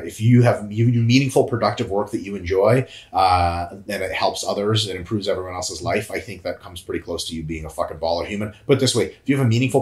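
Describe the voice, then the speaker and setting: A man in his thirties on a couch, answering viewer questions in a casual, jokey, profane way, with big swings in pitch. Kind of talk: casual chat. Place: indoor room, on a couch. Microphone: lapel mic. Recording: echoey room.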